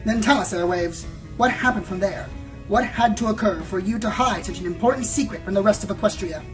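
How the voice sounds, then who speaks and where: A woman's voice: mid-range voice, a little fast, natural voice. Music plays behind a woman acting a sensationalist mare reporter in an audio drama. Her mid-range voice is theatrical and smug, pressing with pompous, insinuating questions. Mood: probing. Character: sensationalist female pony news reporter, probing and smug. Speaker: interviewer. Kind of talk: radio play acting, theatrical interview.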